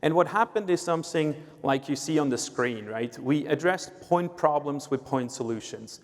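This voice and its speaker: A man's voice: on the high side, expressive, moderate pace. Swiss-accented man speaking in a hall. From Switzerland. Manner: explanatory, uses filler "uh" and "right?". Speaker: sales executive, guest speaker. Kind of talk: conference panel talk. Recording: long reverb of a hall.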